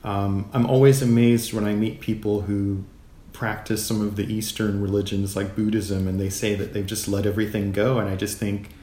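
A middle-aged man speaking as a guest in a reflective literary discussion, in a measured, philosophical manner. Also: fairly low pitch, flat delivery, mellow, a little hiss or hum. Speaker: guest, writer. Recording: roomy echo.

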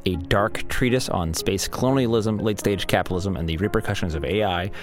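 A man's voice: low-pitched, a little fast. A man presenting a scripted introduction to an audio drama in the role of its co-writer. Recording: music bed behind.

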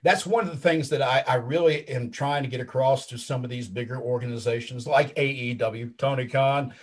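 Man, late 50s, husky voice, mid pitch, talkative, self-assured, casual interview guest. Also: steady tone, a little fast. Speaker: interview guest, wrestling commentator and video creator.

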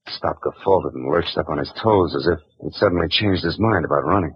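An actor playing a wisecracking hard-boiled private detective who also narrates, in a 1940s radio drama with a dull old broadcast sound. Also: male voice, sits low, fairly quick, a little hiss or hum, close to an everyday voice. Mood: narrating. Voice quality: husky, clipped, tough-talking. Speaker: narrator and lead.

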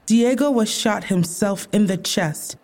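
A woman's voice: medium pitch, unhurried, darkish tone, natural voice. A woman playing a protective, indignant therapy group leader in an audio drama, with a guarded, confrontational way of talking. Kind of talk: naturalistic audio drama dialogue.

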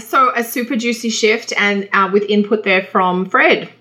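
A woman's voice: mid-range voice, normal speed, sharp tone. A woman with an Australian accent, discussing casually as co-host of an astrology show.

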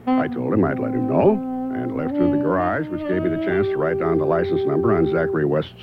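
An actor playing a wry private detective in a 1970s radio drama, with dry, crisp narration and sometimes hesitant talk. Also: male voice, deep voice, wildly swooping pitch, fast, heavily acted. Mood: wry storytelling. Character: wry middle-aged male private detective, narrating his case. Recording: dull radio recording, loud music behind.

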